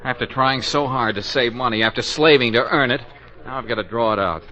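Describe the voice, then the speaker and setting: A man's voice: medium pitch, brisk, sharp tone, close to an everyday voice. An actor playing a hapless, anxious man sinking into money trouble, with rueful asides, in 1940s radio comedy-drama style. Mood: glum, resigned. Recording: muffled vintage radio recording.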